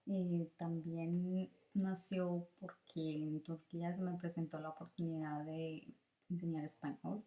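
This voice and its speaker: A middle-aged woman gives a student presentation in a hesitant, halting way with pauses. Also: on the low side, level tone, warm tone, rough recording. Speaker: student teacher giving a presentation. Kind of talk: prepared spoken presentation, partly improvised.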